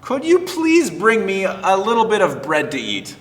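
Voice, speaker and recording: Echoey room, guy reading as a polite earnest prophet from a kids bible story, voice a bit high. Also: huge pitch swings, normal speed, a bit bright, far from the mic, heavily acted. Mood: polite, asking. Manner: courteous, earnest. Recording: reverberant, echoing room.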